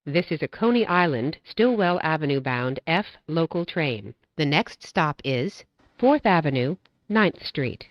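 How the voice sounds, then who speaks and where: A woman with a low-pitched voice delivers scripted train announcements. Her delivery is measured and formulaic, like a set transit script. The recording is dry and a little dull. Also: animated, dry recording.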